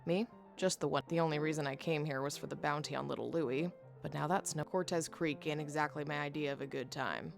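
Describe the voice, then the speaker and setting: Gravelly, blunt female bounty hunter. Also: low voice, steady tone, a little fast, hardly any pauses, faint music behind. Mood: dismissive. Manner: brusque, sceptical. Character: blunt, sardonic woman bounty hunter, stubborn loner. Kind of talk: visual novel game character acting.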